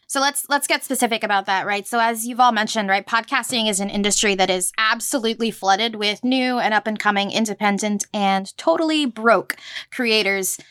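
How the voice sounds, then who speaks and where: A woman's voice: medium pitch, moderate pace, a bit bright, smooth, dry recording, studio quality. A woman hosting a podcast, asking questions in a conversational interview style.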